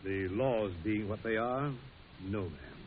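An actor playing a courteous, wry soldier president in an old-time radio drama, speaking in a measured, folksy way. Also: male voice, on the low side, a little hiss or hum. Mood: dry, guarded. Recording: muffled, rough old radio recording.

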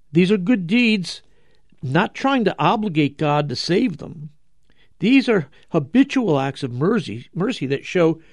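A man preaching as a religious commentator, emphatic and rhetorical, with deliberate pauses and repetition for weight. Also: high-pitched, darkish tone, dry recording, studio quality. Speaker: religious commentator and show host. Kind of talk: dramatic Gospel commentary, preaching to listeners.

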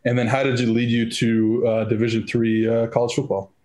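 A man's voice: medium pitch, completely flat delivery, a little fast, darkish tone, roomy sound. A man hosting a podcast, rambling and cracking awkward jokes in casual chat. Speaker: podcast host.

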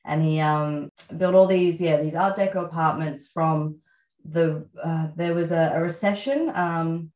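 An Australian woman in her thirties, a writer being interviewed, talking reflectively with many pauses and fillers. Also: deep voice, fairly even intonation, echoey room, lo-fi sound. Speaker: guest writer. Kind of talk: relaxed interview conversation.

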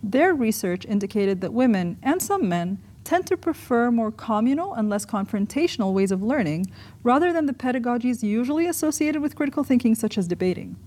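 Woman from egypt reading her essay out loud, mellow voice, measured and articulate, academic type. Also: on the high side, sing-song. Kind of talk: scripted essay narration, read aloud.

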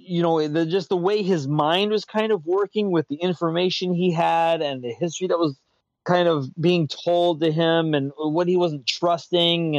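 A man with a slightly high voice chatting casually as a show host, rambling along, stumbling over and repeating words, chuckling between thoughts. The sound is dull and lo-fi, close and dry with no room. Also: dry recording. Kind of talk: casual pop-culture chat.

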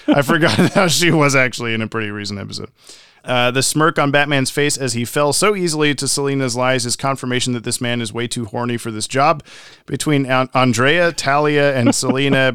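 A man's voice: medium pitch, a bit bright, smooth, no echo, clean studio sound. A man co-hosting a podcast, reading listener messages aloud in casual chat with jokey asides. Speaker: podcast co-host.

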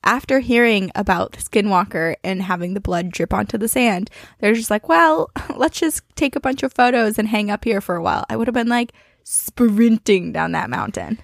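A woman's voice: medium pitch, normal speed, creaky, no room sound, clean studio sound. A woman co-hosting a podcast in a casual, conversational style. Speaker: podcast co-host. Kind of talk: casual chat.

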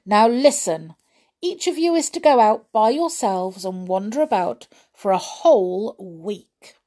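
A woman voicing a stern, regal female fairy queen in a storybook reading, speaking firmly and slowly. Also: medium pitch, lively intonation, warm tone. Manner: firm, measured and scolding.

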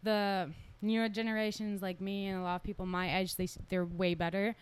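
A woman's voice: medium pitch, little pitch movement, brisk, smooth. A young woman from Germany with a German accent, a podcast guest chatting casually, joking, swearing and laughing often. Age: young adult. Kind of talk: casual chat.

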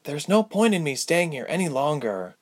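A man's voice: on the high side, sing-song, normal speed, voice pushed up. A man voicing a guarded adult fantasy woman, earnest and terse, in a storybook reading. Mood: worried, urgent.

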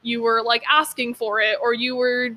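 High, bright-voiced young woman chatting. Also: level tone, moderate pace. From Persian background. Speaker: podcast guest. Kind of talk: casual opinionated chat. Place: outdoors.

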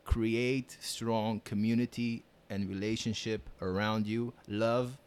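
A man's voice: fairly low pitch, steady tone, takes it slowly, a little mellow, clear, resonant tone. Earnest man. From Israel. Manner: earnest, repeats phrases for emphasis. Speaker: podcast guest. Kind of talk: casual chat.

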